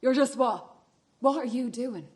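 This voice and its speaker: An actress playing a hard, controlling sister bent on revenge, pointed and insistent in a radio play. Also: female voice, a little high, unhurried, darkish tone, natural voice.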